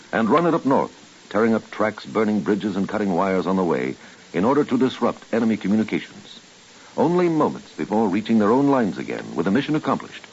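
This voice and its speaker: Announcer role: deep gravelly American man, solemn patriotic read, muffled old radio sound. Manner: solemn, dramatic, deliberate phrasing. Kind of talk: scripted patriotic narration. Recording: dull, hissy old radio recording.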